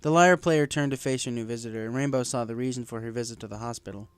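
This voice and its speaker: A man's voice: medium pitch, fast, clean tone, no echo. A man reading a story aloud as scripted narration. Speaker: fan fiction narrator.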